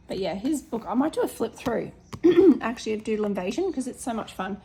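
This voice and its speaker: An Australian woman, a teacher with a slightly hoarse voice, chatting casually with lots of ums as she shows her colouring pages. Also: fairly high pitch, very animated, brisk, warm tone, a little hiss or hum. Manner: chatty, many ums, corrects herself. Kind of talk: casual flip-through chat.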